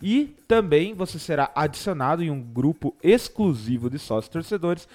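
A man's voice: fairly high pitch, animated, normal speed, darkish tone. A Brazilian man hosting a casual live football talk show. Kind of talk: casual sports chat on a live stream. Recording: background music behind the voice.

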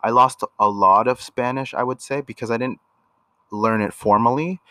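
A young man from Canada co-hosting a casual couple podcast, relaxed and full of filler words. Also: mid-range voice, moderate pace, no room sound, studio quality. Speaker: podcast co-host.

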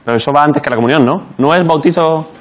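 A man from Jaén with an Andalusian accent, hosting a late-night talk show and teasing his guest with quick jokes. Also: a little high. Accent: Andalusian Spanish. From Jaén, Spain. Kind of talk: joking late-night interview. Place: TV studio with live audience. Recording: loud studio audience and noise behind.

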